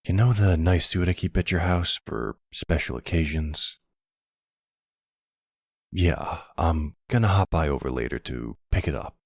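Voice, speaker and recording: Guy doing a smooth-talking silver fox mafia boss, asmr boyfriend roleplay, super deep gravelly voice, lots of swing in the delivery. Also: animated, unhurried, a little mellow, no echo, studio quality, heavily acted. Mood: fond, exasperated. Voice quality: gravelly, husky. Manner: suave, courteous, teasing, uses pet names.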